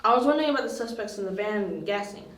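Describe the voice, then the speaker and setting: A woman's voice: medium pitch, lively intonation, fast, natural voice. A woman playing an earnest, loyal young male detective's partner in an amateur audio drama. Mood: polite. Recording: roomy amateur home recording.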